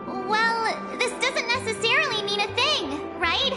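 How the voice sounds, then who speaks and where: A very high-pitched, excitable teenage girl reacts with bubbly disbelief over music. She sounds naive and perky, in animated game-character style. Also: slow, exaggerated voice. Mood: puzzled, doubtful. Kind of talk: video game voice acting. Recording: music clearly underneath. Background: background music.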